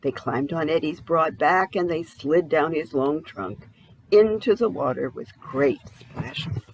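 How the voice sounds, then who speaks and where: A woman's voice: low-pitched, unhurried, slight background noise, low-quality recording. A woman narrating a children's storybook expressively, with a dramatic read-aloud delivery. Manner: dramatic, asks the listener questions. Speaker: storybook reader.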